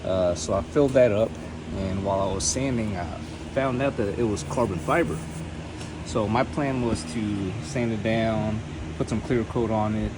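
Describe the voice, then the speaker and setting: A man's voice: lowish voice, moderate pace, background noise. A man giving a casual, unscripted DIY build walkthrough in a laid-back, plain-spoken, sweary way. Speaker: host of a DIY car build channel. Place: garage workshop.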